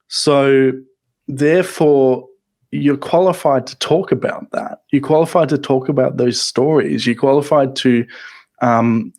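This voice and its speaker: Man, soft-spoken guest, stop-start delivery, close-miked. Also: medium pitch. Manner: soft-spoken, halting with many restarts. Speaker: podcasting guest. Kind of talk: casual interview chat. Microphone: close microphone.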